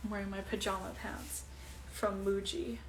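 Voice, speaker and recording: A young woman chats at home into her phone, reading out and answering viewers' comments. Her talk is loose and breezy, full of um's and like's, and her pitch sits right in the middle for a woman. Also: flat delivery, moderate pace, slight background noise, rough recording. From Iceland. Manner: chatty and breezy, with many fillers. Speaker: singer hosting a livestream. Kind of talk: casual livestream chat answering comments. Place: at home, indoors.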